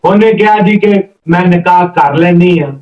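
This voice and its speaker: High-pitched storyteller man, echoey. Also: normal speed. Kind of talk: informal spoken folk storytelling. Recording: roomy, distant, slightly dull mic.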